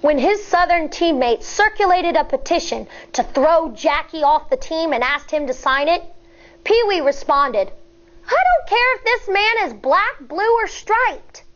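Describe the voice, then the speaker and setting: High-pitched woman reading aloud to children. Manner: expressive, deliberate storytelling with explanatory asides. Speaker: elementary school teacher. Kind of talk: children's read-aloud with teaching asides. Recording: dull, lo-fi sound.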